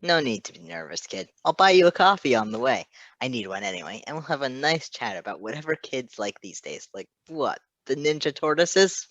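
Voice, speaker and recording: A man's voice: mid-range voice, big pitch swings, a little fast, fairly bright tone, no echo. Guy doing a sassy thirties nurse dude, slangy n breezy, kinda drawly, teasing everyone, dry sound. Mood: teasing, friendly. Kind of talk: audiobook-style character reading.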